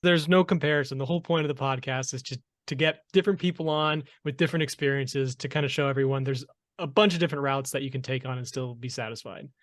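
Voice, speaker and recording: A man's voice: medium pitch, fast talker, no room sound, clean studio sound. A man hosting a podcast, reading an earnest introduction and then politely asking open interview questions. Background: music under the voice.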